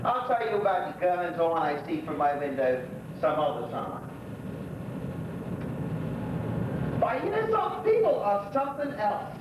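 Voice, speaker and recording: A man acting an echoey, high-voiced old Bermudian gossip. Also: wildly swooping pitch, brisk. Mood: gossipy, chatty. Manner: folksy, rambling storytelling. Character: lonely, gossipy old Bermudian widower, stiff and reminiscing. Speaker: actor in a one-act monologue. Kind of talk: stage monologue told as neighbourly chat. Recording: echoey hall from far off, noisy, rumbling.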